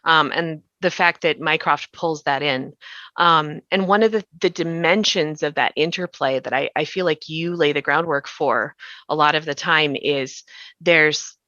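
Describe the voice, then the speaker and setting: A woman's voice: low voice, a little slow, sharp tone, no echo, muffled recording. A woman hosting a podcast discussion in a conversational, thoughtful way, often trailing off mid-thought. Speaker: podcast host and interviewer. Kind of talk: conversational behind-the-scenes discussion.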